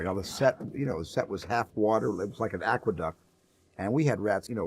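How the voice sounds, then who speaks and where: A man's voice: fairly low pitch, a little mellow, a little hiss or hum. Wry man recalling film-set stunts. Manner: wry and self-mocking. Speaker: filmmaker. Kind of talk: interview anecdote.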